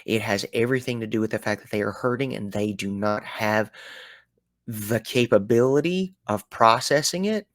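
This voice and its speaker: A man with a Southern US accent talking through his thoughts in a reflective solo show, animated, with folksy turns of phrase and sudden loud bursts. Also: on the low side, normal speed, no room sound, studio quality. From American South. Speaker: podcast host.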